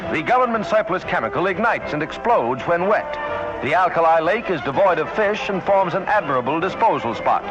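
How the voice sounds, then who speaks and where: A man's voice: fairly high pitch, animated, brisk, natural voice. A man doing declamatory newsreel narration in the style of the 1940s, on a vintage recording. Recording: dull vintage newsreel audio with music.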